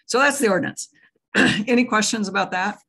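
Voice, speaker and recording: A hoarse woman gives an explanatory presentation over a video call. Her voice is gravelly and husky, and she talks conversationally with restarts. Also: medium pitch, moderate pace, pushed and bright. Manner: conversational and explanatory, with fillers and self-corrections. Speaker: tobacco prevention director presenting. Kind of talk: presentation at an online meeting.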